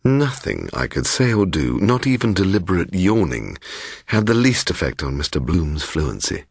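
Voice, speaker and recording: A man narrating a first-person ghost story as a dramatic audiobook, measured and literary in manner. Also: deep voice, big pitch swings, vocal fry, slightly rough, no echo, studio quality.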